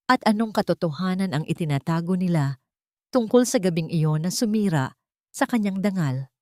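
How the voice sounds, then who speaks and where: A woman's voice: lowish voice, a little mellow, dry recording, studio quality. A woman reading scripted dramatic narration as a storyteller.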